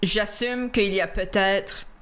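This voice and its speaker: Une femme qui coanime une discussion décontractée en direct, qui rit souvent et mélange des mots anglais à son français. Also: female voice, medium pitch, fairly even intonation, slow pace, a bit bright. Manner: laughs often, mixes English words into French. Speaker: co-host. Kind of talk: casual chat on a live stream.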